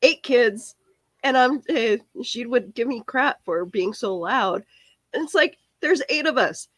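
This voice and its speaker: A woman's voice: fairly high pitch, lively intonation, unhurried, dry recording. A young woman with a cold and a cracking voice, hosting a live stream in a rambling, chatty way. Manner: rambling, chatty, answers viewer comments. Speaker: live stream podcast host. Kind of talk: casual live stream chat.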